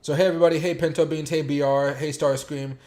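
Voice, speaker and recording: Man with a sore, raspy streaming voice. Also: on the high side, steady tone, fast talker, a little mellow. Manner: rambling, filler-heavy, talks to chat viewers by name. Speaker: crypto YouTuber and streamer. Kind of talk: casual livestream chat with chart commentary.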